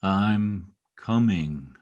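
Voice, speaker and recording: A man in an old-time radio play, acting a protective, brusque young state trooper with a gruff, commanding manner. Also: low voice, very slow, darkish tone, muffled recording. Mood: entranced, dazed. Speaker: amateur radio play actor. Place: home, over a video call.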